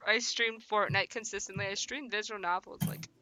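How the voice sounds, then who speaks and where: A woman hosting a livestream, switching between casual slangy chat and reading game text aloud in an animated way. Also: medium pitch, normal speed, over a noisy crowd, poor audio. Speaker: host of a visual novel livestream.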